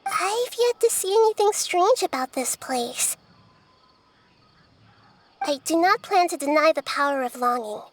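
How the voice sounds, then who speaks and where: A woman's voice: very high voice, lively intonation, a little slow, pauses often. A woman voicing a small, wise and curious childlike goddess in a video game, articulate and precise. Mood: reflective. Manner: analytical, articulate, formal wording. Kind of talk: video game character acting.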